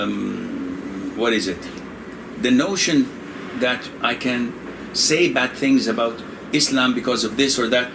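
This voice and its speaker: An older man, a religious scholar, answers questions in a loose, conversational way, wandering between points and breaking into laughter. His voice sits at an ordinary pitch. A steady hiss of room noise and air conditioning sits behind him. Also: gravelly. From Indonesia. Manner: digressive, joking, laughs often. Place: room in Saudi Arabia.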